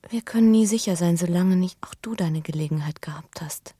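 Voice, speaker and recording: A breathy young woman speaks with a husky edge in a very dry studio. She is an earnest, intimate lover in a radio drama, confiding in deliberate phrases. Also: on the low side, warm tone, dry recording. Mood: hushed confession. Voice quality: breathy, often sinking to a whisper. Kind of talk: German radio drama acting.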